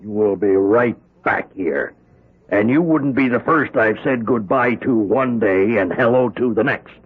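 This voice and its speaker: A man's voice: on the low side, unhurried, slightly rough. An actor playing a paternal but stern prison warden in a radio drama, hearty and impatient in his lecturing. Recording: muffled, tinny old radio broadcast.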